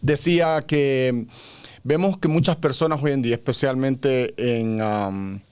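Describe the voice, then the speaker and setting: A man's voice: medium pitch, measured pace, darkish tone, clean tone. A man from Honduras, a podcast guest who explains his views earnestly at length, with frequent fillers. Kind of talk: conversational interview.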